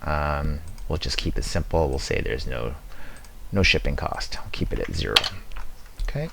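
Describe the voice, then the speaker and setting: A man with an exceptionally deep voice narrates a software walkthrough. He sounds casual and conversational, explaining each step plainly as a presenter would in a screen demo. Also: expressive, moderate pace, vocal fry, heavy background noise.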